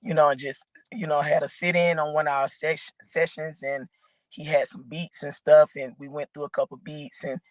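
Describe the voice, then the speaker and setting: A woman from Georgia with a raspy voice, a guest rapper rambling casually with lots of fillers. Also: low-pitched, fairly even intonation, moderate pace, dry recording, rough recording. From Augusta, Georgia, raised in Atlanta. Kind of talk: casual interview chat.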